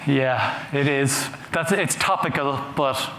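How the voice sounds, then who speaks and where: A man giving a conference talk from a stage, conversational and halting, often repeating words as he goes. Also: medium pitch, sharp tone. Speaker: conference speaker. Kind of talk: conference talk with audience questions. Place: large conference hall on a stage. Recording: roomy hall sound with faint noise.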